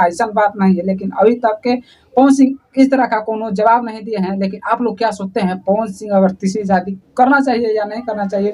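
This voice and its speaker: A woman's voice: mid-range voice, darkish tone. A woman with a Bihari accent giving informal, opinionated news commentary, talking straight to viewers in a colloquial, repetitive way. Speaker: online news presenter.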